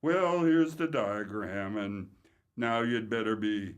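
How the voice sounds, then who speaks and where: A deadpan, wisecracking pal with a somewhat low voice. He throws out dry one-liners in a vintage radio comedy style. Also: takes it slowly, clean tone. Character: wisecracking male friend who helps a pal sneak out. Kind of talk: old-time radio comedy acting.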